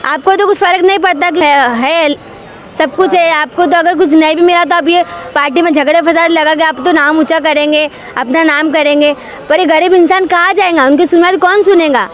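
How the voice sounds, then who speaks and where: A woman's voice: very high voice, fairly even intonation, moderate pace. A woman giving an unscripted, impassioned political statement, admonishing and repeating her appeals insistently.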